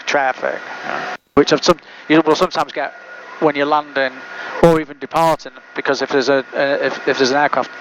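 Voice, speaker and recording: A man flying a small plane, chatting casually as a pilot and making radio calls over a headset. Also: mid-range voice. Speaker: private pilot presenting own flight. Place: small aircraft cockpit in flight. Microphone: aviation headset. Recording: muffled noisy light-aircraft headset intercom. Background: engine and cabin noise.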